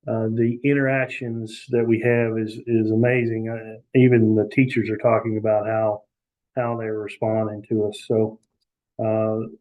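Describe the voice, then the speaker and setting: A man presenting on a webinar over a video call, halting with many fillers and restarts. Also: medium pitch, a little mellow, rough recording. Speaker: youth prevention educator.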